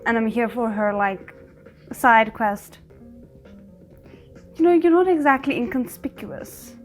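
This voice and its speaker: Chatty woman reacting out loud. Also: fairly high pitch, faint music behind. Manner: chatty, animated, quick to react aloud. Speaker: reaction video host. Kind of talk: casual commentary while watching a series.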